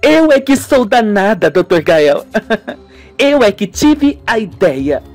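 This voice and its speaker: A young woman of a radio melodrama, played by a man, eager and scheming. The pitch is high and very lively, the manner emphatic and coaxing. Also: slow, faint music behind, heavily acted. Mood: pleased, boastful.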